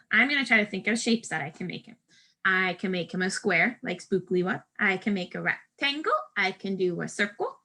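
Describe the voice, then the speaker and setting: Female primary teacher, crisp bright tone, raised pitch, walking small children through a craft in plain, patient steps. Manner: instructional, simple patient phrasing, talking directly to children. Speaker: first grade teacher. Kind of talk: step-by-step craft lesson for young children.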